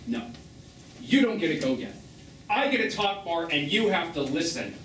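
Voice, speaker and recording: A sarcastic, wounded man in middle age, delivers cutting stage-drama lines in a lively, rather high voice. He is emphatic and theatrical. The sound is roomy and noisy, picked up from out in a theatre. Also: moderate pace, recorded from a distance. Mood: accusing. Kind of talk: live one-act stage drama acting. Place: small theatre stage, recorded from afar.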